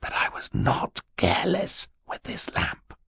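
A man's voice: a little slow, pushed and bright, no room sound, studio quality. A man voicing a brave, clever young boy in an audiobook, earnest and defiant. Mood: hissed indignation.

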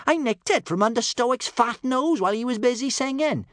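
A man's voice: sits high, huge pitch swings, normal speed, a bit bright, no echo, studio quality, pitched above the performer's own voice, full character voice. A man voicing a fearless young Viking girl, bold and breezy, in a children's audiobook. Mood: gleeful.

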